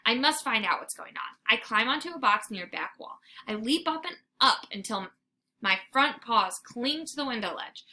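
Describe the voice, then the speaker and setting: Female children's read-aloud narrator: teacherly, expressive, highish and sharply bright. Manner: animated, theatrical.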